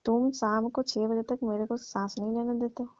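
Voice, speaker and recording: A woman's voice: fairly high pitch, little pitch movement, moderate pace, a little mellow, no echo, close to an everyday voice. A woman playing a tired, aggrieved housemaid aunty in a comic phone-call skit, grumbling reproachfully in a familiar way. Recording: muffled lo-fi dry phone call recording.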